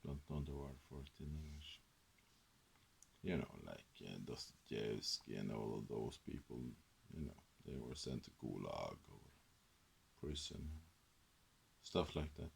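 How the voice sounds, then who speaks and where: A Swedish-native man in a soft-spoken ASMR book chat, rambling and hesitant with many fillers, sometimes dropping into a whisper. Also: rumbling low, expressive, measured pace, vocal fry, a little hiss or hum. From Sweden. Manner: drifts into whispers. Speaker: ASMR host.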